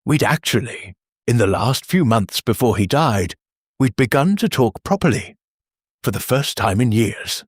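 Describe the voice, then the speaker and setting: A bitter English gentleman under suspicion, acted by a male storyteller in an audiobook mystery. He speaks in clipped, defensive phrases with a husky edge and restless, lively pitch. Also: a little slow, no room sound, studio quality. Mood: subdued, candid. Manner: flat, clipped, resentful. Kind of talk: audiobook character voice.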